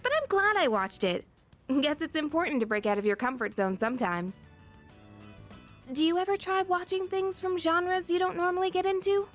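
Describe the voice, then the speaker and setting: A friendly teenage schoolgirl in a video game. Her voice is very high and swings expressively up and down. She sounds earnest, gentle and lightly teasing, with music playing behind her. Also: animated, normal speed. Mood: warm, admiring. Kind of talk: English video game voice acting. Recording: background music under the voice.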